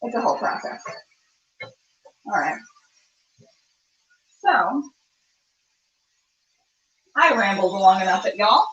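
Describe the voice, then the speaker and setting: A woman's voice: medium pitch, big pitch swings, takes it slowly, pauses often, a bit bright. A woman hosting a casual, chatty cooking show, rambling playfully and talking to herself as she goes. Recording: echoey room, distant mic, dull sound.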